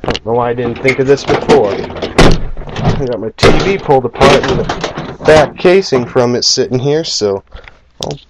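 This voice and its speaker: A man's voice: mid-range voice, a little slow, long pauses. A man rambling casually and bluntly, with some swearing, as he hosts a homemade game hardware demo. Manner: rambling, blunt, foul-mouthed. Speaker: video host. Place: cluttered room at home. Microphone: camera microphone at varying distance. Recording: noisy home camera recording with clicks.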